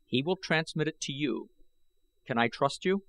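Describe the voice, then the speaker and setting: A man's voice: on the high side, lively intonation, normal speed, a bit bright, pitched above the performer's own voice. A man voicing an urgent, trusting Martian warrior who gives earnest instructions in an audiobook.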